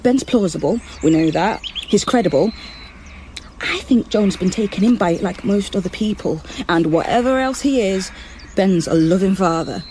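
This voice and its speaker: A woman's voice: mid-range voice, expressive, normal speed, noisy background, lightly acted. An actress playing a determined, frustrated undercover policewoman in a naturalistic radio drama, speaking in an intense, insistent way. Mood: earnest, urgent.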